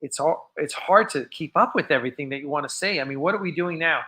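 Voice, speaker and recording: An American man hosting a casual live fan show, chatting off the cuff in a rambling, halting way that often trails off. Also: a little high, pushed and bright. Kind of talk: casual unscripted livestream chat.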